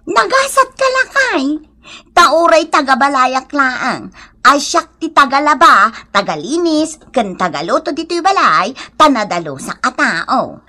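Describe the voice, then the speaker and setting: A woman with a northern Philippine accent playing a nosy, sharp-tongued housewife in a comedy radio drama. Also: high voice, sing-song, measured pace, full character voice. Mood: grumbling. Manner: gossipy, sardonic, exclamatory.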